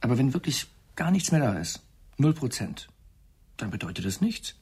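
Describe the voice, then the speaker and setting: An actor playing a cool, frank male friend in a radio drama, with a gravelly, husky voice and a measured manner. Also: medium pitch, big pitch swings, darkish tone, exaggerated voice. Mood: probing, frank. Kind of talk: German radio drama acting.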